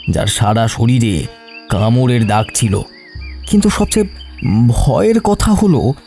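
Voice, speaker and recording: A man playing a middle-aged village gentleman in a horror audio story, grave and fatherly, speaking slowly with pauses. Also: medium pitch. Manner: slow, grave, pausing. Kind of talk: dramatic Bengali horror audio story acting.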